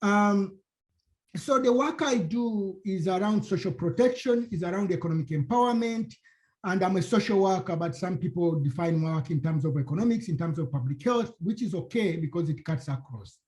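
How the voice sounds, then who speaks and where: A man's voice: sits high, normal speed, a little mellow. A middle-aged man from Uganda with a Ugandan accent, introducing himself as a faculty panelist on a video call, with anecdotes and humor. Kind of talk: panel discussion, personal introduction.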